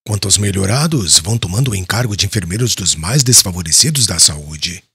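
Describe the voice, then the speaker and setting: Man playing a husky, solemn apostle. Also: fairly low pitch, pushed and bright, no room sound, studio quality, heavily acted. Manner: solemn, earnest. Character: Simon Peter, devout, compassionate male apostle leading a church. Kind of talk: audiobook dramatized dialogue.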